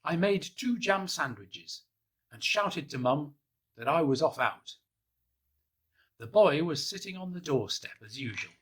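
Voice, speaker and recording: Soft-spoken man reading a children's story. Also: fairly high pitch, animated, measured pace, slightly rough. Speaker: storybook reader. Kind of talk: gentle first-person children's story reading.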